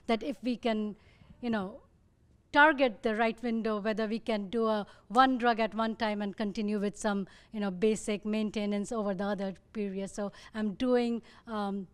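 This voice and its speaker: A woman with an Indian accent, a researcher speaking thoughtfully on a panel and often pausing to find her words. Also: on the high side, clean tone. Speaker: muscle disease researcher.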